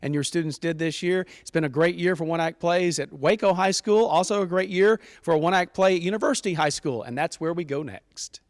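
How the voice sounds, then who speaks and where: Quick talking guy host, slightly high voice, interview show, dry sound. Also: no room sound. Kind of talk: broadcast interview hosting.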